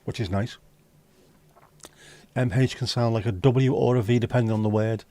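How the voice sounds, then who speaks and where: Mellow-voiced man bantering with chat. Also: medium pitch, steady tone, moderate pace. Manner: teasing, jokey banter with casual swearing. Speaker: livestream host reading chat. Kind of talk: casual chat. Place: home livestream setup.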